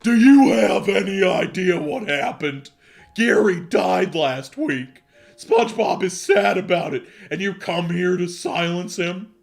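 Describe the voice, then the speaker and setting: A man voicing a loyal, dim male cartoon starfish, loud and blunt. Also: fairly high pitch, fairly even intonation, takes it slowly, creaky, soft background music, voice pushed up. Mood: indignant.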